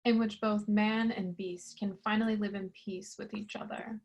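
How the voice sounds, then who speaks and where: A woman's voice: mid-range voice, level tone, moderate pace, lightly acted. A woman playing a solemn, prophetic mutant fish in a staged reading.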